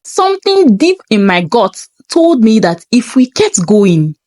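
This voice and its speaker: A woman's voice: on the high side, big pitch swings, unhurried, darkish tone, dry recording, studio quality. A woman reading a scripted first-person story in a dramatic, suspenseful manner.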